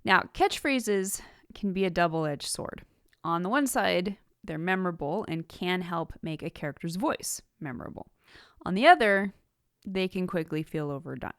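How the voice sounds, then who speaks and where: A woman with a slightly low voice delivers scripted instructional narration as a podcast host. Her phrasing is articulate and measured, leaning on key words. The sound is dry, with almost no room around it. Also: lively intonation, a bit bright, smooth, dry recording. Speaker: podcast host and writing teacher.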